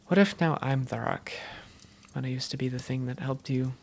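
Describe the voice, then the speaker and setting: A woman playing an affectionate, self-doubting vampire girlfriend in a cozy roleplay audio, speaking in an intimate, teasing and sometimes halting way. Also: very deep voice, fairly even intonation, vocal fry, a little mellow, a little hiss or hum. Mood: hesitant, vulnerable. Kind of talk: cozy roleplay audio, one-sided conversation.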